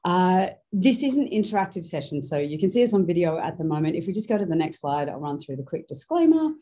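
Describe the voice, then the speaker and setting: A woman in her forties hosts a webinar with a rough, hoarse voice pitched very low and darkly. She talks chattily and welcomingly from a studio. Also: big pitch swings, fast, warm tone. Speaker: webinar host. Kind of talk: hosting a live webinar. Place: studio with branded backdrop.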